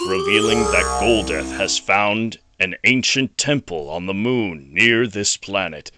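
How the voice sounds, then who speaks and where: A man narrating a scripted action audio drama as a storyteller. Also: fairly low pitch. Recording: noisy home recording.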